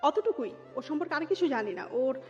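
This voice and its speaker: A woman's voice: high-pitched, expressive, brisk. A woman in her thirties with a Bangladeshi accent, telling her life story as an interview guest and dropping English words into her talk. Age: 30s. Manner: mixes English words into Bengali. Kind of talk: personal life storytelling in conversation. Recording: dull lo-fi audio with music behind. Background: music.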